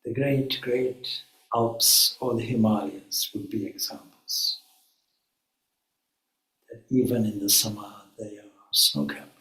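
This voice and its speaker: A man with a fairly low voice leads a guided meditation in an echoey room. He speaks very slowly and calmly, setting out short, measured phrases with pauses between them. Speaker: swami, guided meditation leader.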